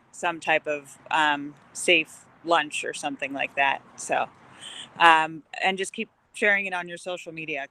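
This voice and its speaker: Woman, very bright and smooth, friendly update from her car. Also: low voice. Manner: friendly, hesitant, many ums. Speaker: fundraising organizer. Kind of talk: casual meeting update. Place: parked car.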